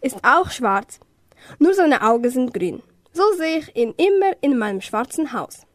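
A young woman playing a scatty, quick-tempered witch in a children's amateur radio play. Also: high voice, big pitch swings, no echo, studio quality. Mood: chatty, proud.

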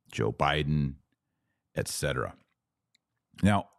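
Male podcast commentator, low voice, unhurried and emphatic, dry sound. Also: darkish tone, no room sound. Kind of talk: conversational news commentary.

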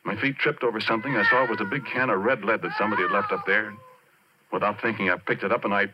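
An American man acting as a haunted, obsessed former oil driller in a 1940s radio horror play, confiding in halting, brooding phrases with dramatic pauses. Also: on the low side, steady tone, lightly acted. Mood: tense, shaken. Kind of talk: 1940s radio horror acting, intimate first-person narration. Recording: dull, noisy 1940s radio recording.

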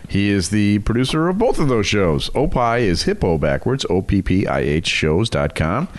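A man's voice: fairly low pitch, sing-song, moderate pace. A man hosting a podcast in a casual, jokey way, chatting informally and laughing easily. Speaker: podcast host and interviewer. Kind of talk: casual banter and show promos.

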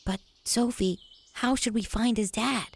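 A woman's voice: a little high, unhurried, vocal fry, noisy background. A female performer playing a cautious, sensible, skeptical young boy in a children's audio drama, earnest and worried. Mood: practical.

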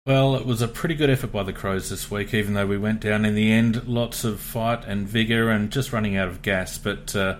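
An Australian man hosting a casual footy podcast, relaxed with many fillers. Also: fairly low pitch, brisk, hardly any pauses. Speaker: podcast host. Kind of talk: casual sports podcast chat.

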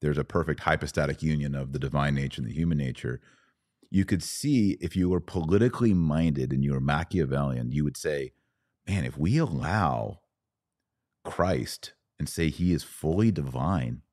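Very deep-voiced man, dry close sound. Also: normal speed, a little mellow, dry recording. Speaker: podcast host. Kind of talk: conversational religious discussion.

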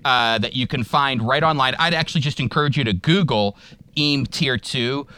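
A man's voice: medium pitch, moderate pace, sharp tone, clean tone. A man hosting a radio interview, friendly and promotional as he closes the segment. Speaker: radio host. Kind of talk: host wrap-up.

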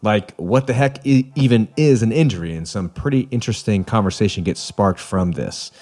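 A man's voice: on the low side, moderate pace, a little mellow. A man hosting a podcast, reading an upbeat scripted intro and then chatting casually with jokes at his own expense. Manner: upbeat, joking, self-deprecating. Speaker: podcast host.

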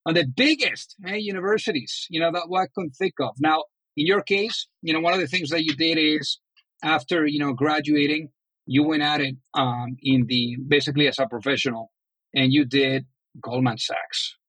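A man's voice: on the high side, moderate pace, fairly bright tone, breathy edge, no echo, low-quality recording. Chatty man hosting a podcast. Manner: chatty, many fillers like "you know". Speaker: podcast host. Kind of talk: casual interview.

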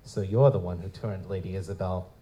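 Man playing a low-voiced, hardboiled noir detective. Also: fairly even intonation, a little fast, mellow, slight background noise, natural voice. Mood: skeptical. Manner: tough, slangy, sardonic. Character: street-level green goblin-like male private detective, world-weary, wisecracking, wary. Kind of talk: hardboiled noir audio drama narration and dialogue. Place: live stage performance at a convention.

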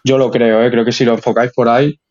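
A man's voice: mid-range voice, level tone, quick pace, darkish tone. Dull-sounding Spanish man chatting casually. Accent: Spain Spanish. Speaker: guest. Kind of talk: casual chat. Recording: dull lo-fi online connection.

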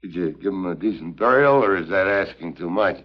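An actor playing a tough, wisecracking American agent with a wry, slangy manner in an early 1950s TV spy drama. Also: male voice, deep voice, animated, fairly quick. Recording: muffled vintage 1950s TV soundtrack.

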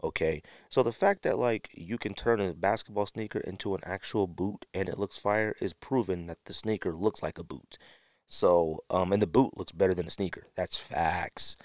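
A man's voice: low-pitched, moderate pace, darkish tone, no echo, studio quality. A man hosting a podcast solo in a casual, rambling, slangy way, sniffling a little with a stuffy nose. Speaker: podcast host.

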